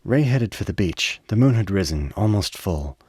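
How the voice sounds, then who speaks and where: A man narrating a radio play with measured, literary dramatic storytelling. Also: on the low side, expressive, darkish tone, breathy edge, no echo, clean studio sound.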